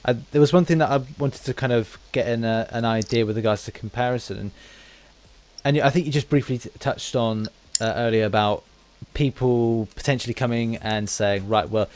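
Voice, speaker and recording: A man hosting a podcast interview in a casual, somewhat halting conversational way. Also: mid-range voice, a little mellow.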